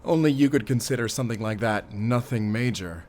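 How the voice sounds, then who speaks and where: A man's voice: mid-range voice, a little fast, a little mellow, natural voice. A man playing a courteous, genial father of a grown son in an audio drama. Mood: genial, concerned. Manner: polite, jovial.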